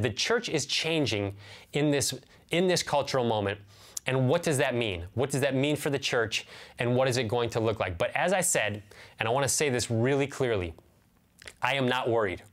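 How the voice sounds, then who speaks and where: An American man in his thirties giving a sermon as a pastor, earnest and emphatic, repeating key phrases and asking rhetorical questions. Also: medium pitch. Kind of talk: sermon, teaching to camera. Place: indoors, small room with bookshelves.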